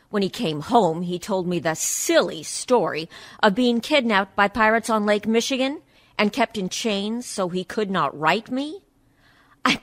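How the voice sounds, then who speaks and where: A woman playing a proud, dutiful dead wife, speaking with pointed dignity and quiet scorn in a radio-play monologue. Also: medium pitch, lightly acted.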